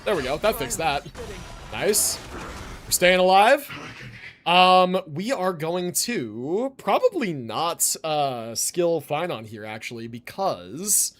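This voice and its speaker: High-pitched, animated man livestreaming a game. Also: takes it slowly. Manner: thinking aloud, slangy, swearing. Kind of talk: casual live gameplay commentary. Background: game music.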